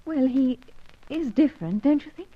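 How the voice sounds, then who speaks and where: An actress playing a shy, modest young woman who speaks softly and hesitantly in an old radio drama. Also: sits high, expressive, normal speed. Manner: soft, hesitant, demure. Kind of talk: 1950s radio drama acting. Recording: dull old radio recording.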